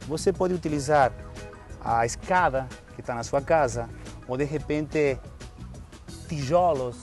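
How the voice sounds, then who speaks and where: A man's voice: medium pitch, measured pace. A man from Argentina with an Argentine Spanish accent, a fitness instructor explaining in a friendly way and often ending phrases with "tá?". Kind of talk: TV studio interview and demonstration. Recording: TV studio with background music.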